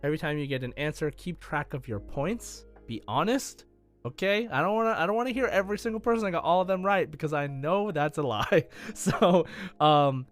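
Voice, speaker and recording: A man hosting a gaming podcast in casual, enthusiastic talk, with halting starts and emphatic stresses. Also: on the high side, lively intonation, soft background music. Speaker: podcast host and quizmaster.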